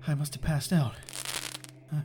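A man playing a rattled, sarcastic starship chief engineer who mutters haltingly to himself in an audio drama. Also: on the high side, noisy background. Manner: halting, self-talking, wry.

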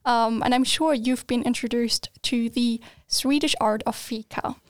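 Bright high-voiced young woman hosting. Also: steady tone, unhurried, no echo, studio quality. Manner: upbeat, laughs often. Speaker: podcast host. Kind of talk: friendly podcast interview.

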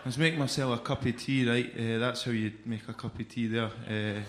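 A man's voice: mid-range voice, fairly even intonation, fairly quick, echoey room, background noise. A man with an Edinburgh Scottish accent doing a chatty stand-up set, often tagging lines with "right?". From Scotland. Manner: chatty, keeps adding "right?". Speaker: stand-up comedian. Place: comedy club stage.

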